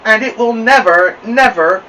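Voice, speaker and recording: A man's voice: high voice, a little slow, sharp tone, far from the mic, slight background noise, voice pushed up, rough recording. A man voicing a naughty, stubborn little girl in a storybook, boastful and insistent. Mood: gleefully unashamed.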